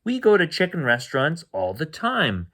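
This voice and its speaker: Man doing a firm, expressive old grandmother. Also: a little high, moderate pace, pitched above the performer's own voice, heavily acted. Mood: dismissive.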